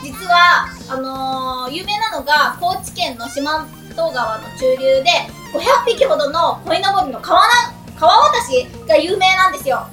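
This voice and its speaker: An excitable, giggly woman with a youthful, girlish voice, hosting a radio talk show with high energy. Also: normal speed, hardly any pauses. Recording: roomy, distant mic, music behind.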